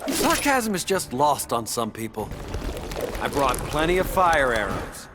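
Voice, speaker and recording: Cocky archer hero, lively swings. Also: male voice, mid-range voice, a little slow. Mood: sarcastic. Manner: flippant, wry. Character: cocky, sarcastic wisecracking male archer hero. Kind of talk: video game character acting. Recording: game ambience noise behind.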